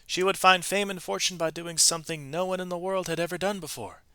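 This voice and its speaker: A man reading a storybook aloud to students in an expressive, emphatic way, with brief explanatory asides to his listeners. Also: sits high, fairly quick, clean tone, dry recording. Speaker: read-aloud narrator, teacher reading to students.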